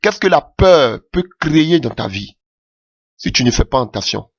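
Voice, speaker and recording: A man's voice: very animated, moderate pace, vocal fry, slightly rough, no room sound, muffled recording. A man preaching a sermon with passion, calling out alleluia often as his voice rises. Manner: impassioned, rising pitch with frequent alleluias.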